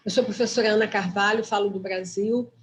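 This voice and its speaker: A woman's voice: mid-range voice, steady tone, fast, a little hiss or hum. A woman from Brazil with a Brazilian Portuguese accent, a professor joining an online talk to thank the speaker in a friendly, rambling way. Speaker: audience member, professor.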